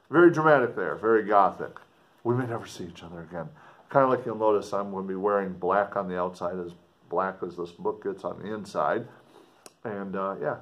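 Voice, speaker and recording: A middle-aged man with a fairly low voice reads classic prose aloud. His phrasing is theatrical and deliberate, and he moves easily between formal reading and casual explanation. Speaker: book reader and commentator. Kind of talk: dramatic audiobook-style reading with casual explanatory asides. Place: indoors, small room with plain dark backdrop.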